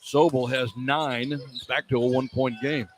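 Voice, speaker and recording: A man's voice: mid-range voice, fairly even intonation, moderate pace. A man calling live basketball on the radio as play-by-play announcer, describing the action in clipped running phrases. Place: indoor sports arena. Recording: live arena broadcast with background noise.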